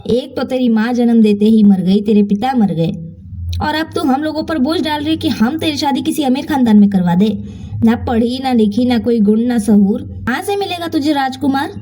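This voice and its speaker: A woman's voice: high-pitched, moderate pace, mellow. A woman voicing a cruel guardian in a moral story, harsh, scornful and bossy. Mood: scornful scolding. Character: cruel, scolding uncle and aunt guardians.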